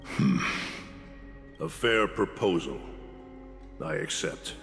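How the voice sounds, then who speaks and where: A proud, stern older game warrior. The voice sits low and gravelly, moving slowly and formally, carried by long hall reverb over music. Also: male voice, lively intonation, fairly bright tone, full character voice. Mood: gruff defiance. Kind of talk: dramatic video game acting.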